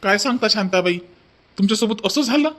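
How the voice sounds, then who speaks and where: Brief: fairly high-voiced cartoon ice-cream seller, played by a man, kind and brotherly. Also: animated, fast talker. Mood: friendly. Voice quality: warm-hearted, brotherly.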